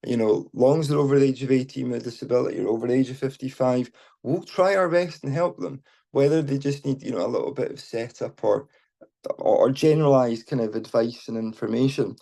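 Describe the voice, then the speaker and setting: Scottish man, charity team lead, casual ad hoc talk, warm mellow voice, many ums. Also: mid-range voice, a little fast, vocal fry. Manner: informal, with many fillers and restarts. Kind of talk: unscripted talk to an online meeting. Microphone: mobile phone.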